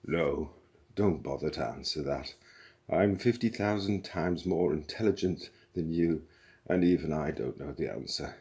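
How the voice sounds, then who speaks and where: A man voicing a weary, depressed male robot in a slow, mournful, superior drawl. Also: a bass voice, darkish tone. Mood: gloomy. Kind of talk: audiobook character voice.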